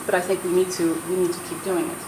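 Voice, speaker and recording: Dutch woman, american accent, earnest panel talk in an echoey hall, clear noise behind. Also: fairly low pitch, flat delivery, quick pace. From Netherlands, raised in the US. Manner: earnest, persuasive, many restarts. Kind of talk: roundtable speech.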